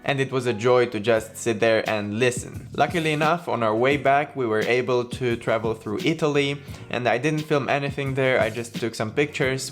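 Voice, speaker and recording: A young man from Romania, whose first language is Romanian, tells his language-learning story in a scripted vlog voice-over. His delivery is measured and articulate, and it sits at an ordinary middle pitch for a man. Soft instrumental music plays faintly behind him.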